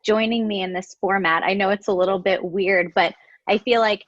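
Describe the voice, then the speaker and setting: A woman's voice: mid-range voice, steady tone, normal speed, dry recording. Woman host, chatty, upbeat, dry dull call audio. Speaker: podcast host. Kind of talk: interview hosting.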